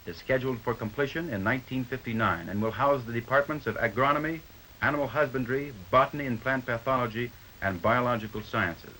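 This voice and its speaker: An American man narrating a 1950s newsreel from a script, in a formal, measured announcer's delivery. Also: medium pitch, rough recording. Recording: 1950s newsreel soundtrack with faint noise.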